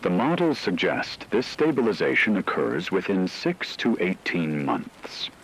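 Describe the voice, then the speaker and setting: Low, grave male radio analyst acted. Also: sing-song, a bit bright, slightly rough, full character voice, low-quality recording. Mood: sober, precise. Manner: measured, precise, sober. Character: grave, blunt male expert briefing radio listeners on disaster. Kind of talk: scripted dramatic radio briefing.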